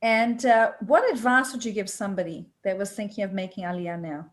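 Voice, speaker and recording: A woman hosting a casual online interview, friendly and quick to laugh. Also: medium pitch. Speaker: host.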